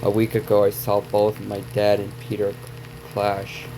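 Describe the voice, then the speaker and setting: A man's voice: fairly low pitch, completely flat delivery, a little slow, music in the background, poor audio. A man reading a story aloud as a reaction video host, stumbling over and repeating words, with asides to viewers.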